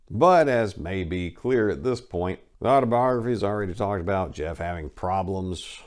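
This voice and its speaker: A man reading a memoir aloud with dramatic emphasis, then commenting on it, with loud stresses and dropped asides. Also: sits low.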